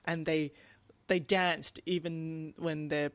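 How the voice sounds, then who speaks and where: A woman co-hosting a podcast, chatting casually about a book in an animated, rambling way and acting out reactions. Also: deep voice, takes it slowly, no echo. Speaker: podcast co-host.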